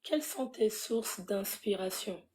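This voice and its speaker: A woman's voice: medium pitch, lively intonation, measured pace, darkish tone. A woman host asking questions of a music guest, with a playful, teasing manner. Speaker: interviewer. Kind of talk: interview questions.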